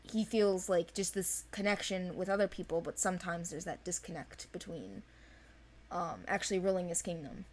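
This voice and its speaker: A woman's voice: lowish voice, normal speed, darkish tone. A woman chatting casually and enthusiastically about characters, rambling in an informal way. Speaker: animation project team member. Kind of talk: casual chat discussing characters.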